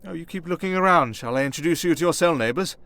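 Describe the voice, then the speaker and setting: A man playing a smooth-talking, sarcastic vampire noble, chatting idly and vainly with a fellow prisoner in an ASMR roleplay. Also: a little high, fast talker, a bit bright. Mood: chatty.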